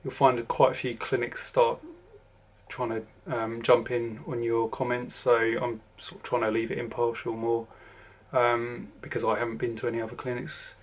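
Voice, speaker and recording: Flat-voiced southern English man rambling. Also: mid-range voice, normal speed. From southern England. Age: 40s. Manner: rambling, lots of ums and restarts. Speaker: vlogger. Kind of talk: casual to-camera update.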